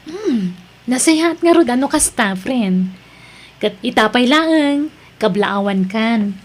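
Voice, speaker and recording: A woman's voice: fairly high pitch, expressive, slow pace. A woman playing a chatty, devout and caring friend in an Ilocano radio drama. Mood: caring, curious.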